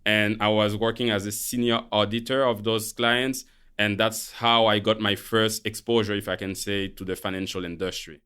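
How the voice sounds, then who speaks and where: Man, Haitian French accent, low voice, crisp and clear, measured podcast guest. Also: flat delivery, a bit bright. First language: Haitian Creole. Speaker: guest, portfolio manager. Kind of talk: podcast interview.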